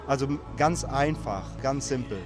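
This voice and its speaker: A man's voice: medium pitch, unhurried, music in the background. A man being interviewed outdoors at a summer festival, telling stories in a chatty way with frequent fillers. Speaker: interviewee, long-distance walker. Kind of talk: casual storytelling in an interview.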